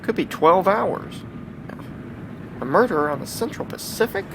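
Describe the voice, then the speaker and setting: A man playing a nervous, deferential, excitable train porter in a period radio drama. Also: moderate pace, gravelly. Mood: nervous, incredulous. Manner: excitable, stammering, incredulous. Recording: train rumble behind the voice.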